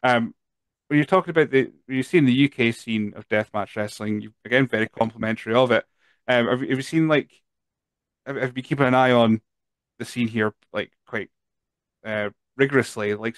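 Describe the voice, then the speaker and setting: A man's voice: mid-range voice, fairly even intonation, a little fast, clean tone, no room sound. A man in his thirties hosting a podcast, asking long friendly questions with many restarts, into a studio mic at home. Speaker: podcast host. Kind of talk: casual interview. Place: home room with collectibles. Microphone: boom studio mic with headphones.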